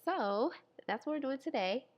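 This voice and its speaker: A woman's voice: sits high, animated, normal speed, darkish tone. A young woman with a stuffy, congested nose, chatting casually to viewers while walking through a hair tutorial. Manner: chatty, friendly, talks to viewers. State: congested, nasal. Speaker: beauty vlogger.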